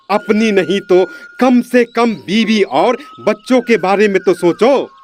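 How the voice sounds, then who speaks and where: Guy doing a stern cartoon baba, slow preachy scolding, high voice, faint noise behind. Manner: emphatic, admonishing, repeats phrases. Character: stern holy man scolding a drunkard. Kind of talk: Hindi moral cartoon, preachy monologue.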